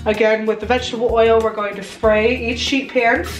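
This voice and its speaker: A woman's voice: fairly high pitch, fairly even intonation, normal speed, soft background music. A young adult American woman talking through a recipe in a chatty, step-by-step home tutorial style. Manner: chatty, instructive. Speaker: home baking vlogger. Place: home kitchen, indoors. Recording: roomy kitchen echo.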